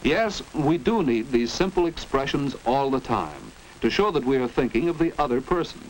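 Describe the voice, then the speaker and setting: American guy narrator, 1940s school film, teachy and crisp diction, up and down intonation, bit of noise. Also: medium pitch, big pitch swings, normal speed. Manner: didactic, precise enunciation. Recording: noisy 1940s educational film soundtrack.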